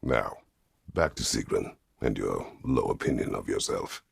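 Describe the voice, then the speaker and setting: An actor playing a stern, weary, middle-aged Greek war god in a video game, speaking tersely and formally with a gravelly, husky voice. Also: male voice, extremely low pitch, animated, measured pace, no echo, clean studio sound, full character voice. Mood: stern, brooding. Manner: terse, grave, formal phrasing without contractions. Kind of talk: dramatic video game voice acting.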